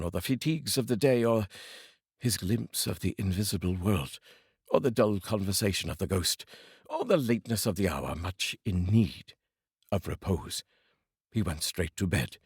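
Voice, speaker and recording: A man narrating a classic story as a reader, in a measured, theatrical storytelling manner. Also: lowish voice, darkish tone, breathy edge, no echo, clean studio sound. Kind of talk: scripted dramatic storybook narration.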